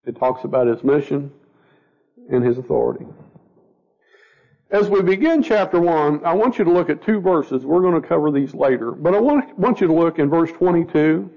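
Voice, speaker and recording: A man's voice: on the high side, lively intonation, normal speed. A man teaching an informal Bible study class, a little scratchy and stuffed up, talking plainly and often repeating words as he questions the group. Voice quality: gravelly. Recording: dull lo-fi recording.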